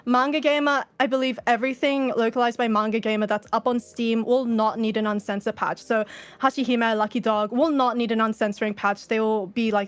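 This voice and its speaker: A woman with an Australian accent giving a casual, informal fan talk as a panel presenter, swearing now and then. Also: fairly high pitch. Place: indoors, virtual convention presentation.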